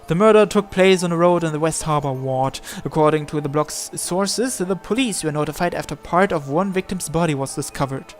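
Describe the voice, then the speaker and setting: A man with a fairly high voice reads story text from a visual novel aloud. He narrates line by line and sometimes trips on a word and repeats it. Music plays behind him. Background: background music.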